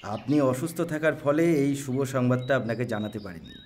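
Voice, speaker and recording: A man voicing an excitable, talkative lawyer who bursts in with big news, loud and cheerful. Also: mid-range voice, normal speed, slight background noise, natural voice. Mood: chatty. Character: excitable middle-aged male lawyer bearing news. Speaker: voice actor in a Bengali audio story. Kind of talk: audio drama acting.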